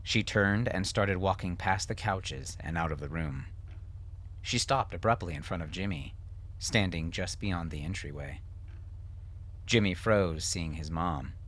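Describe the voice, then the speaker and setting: A male audiobook narrator reads scripted fiction in a dramatic style. His voice sits very low, with a bright, forceful edge that keeps the words tense and sharp. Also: a little slow.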